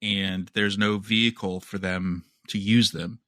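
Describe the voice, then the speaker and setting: A man's voice: on the low side, fairly even intonation, measured pace, pushed and bright, no room sound, studio quality. A man co-hosting a podcast, casually talking through news with a wry, skeptical manner. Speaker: podcast co-host.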